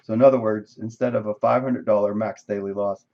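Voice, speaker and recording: Man, hoarse, casual trading recap, thinking aloud, lowish voice, muffled lo-fi audio. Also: steady tone, fairly quick, darkish tone. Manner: conversational, thinking aloud, frequent "right?". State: losing his voice, coughing. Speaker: day trader reviewing a live session. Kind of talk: casual screen-share trade recap. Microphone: desk microphone.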